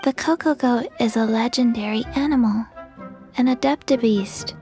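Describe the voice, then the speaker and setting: A woman voicing a small zombie girl in a video game, blank and literal, speaking in a halting deadpan over music. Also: on the high side, close to an everyday voice. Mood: blank, flat. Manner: deadpan, halting, speaks of herself in third person. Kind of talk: scripted video game dialogue.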